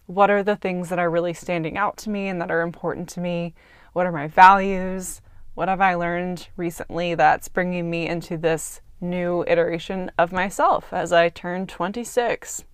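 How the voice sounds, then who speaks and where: A woman's voice: lowish voice, steady tone, moderate pace, clear, resonant tone, no echo, studio quality. A woman in her twenties hosting her own podcast, talking in an earnest, chatty way and thinking aloud with little fillers. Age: 20s. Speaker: podcast host. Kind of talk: casual reflective monologue.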